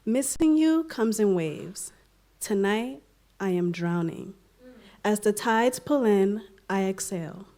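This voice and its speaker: A woman reading her own poem at an open mic, soft, unhurried and emotional. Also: medium pitch, expressive. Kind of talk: spoken word poetry reading. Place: community center event.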